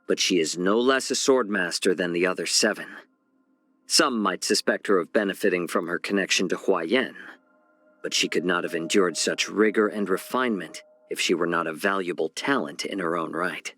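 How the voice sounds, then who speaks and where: An actor playing a calm, wry, courteous male healer and strategist in a video game, with a measured, genteel, gently teasing delivery. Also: sits low, dry recording, soft background music. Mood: reflective, measured. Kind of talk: scripted video game character voice lines.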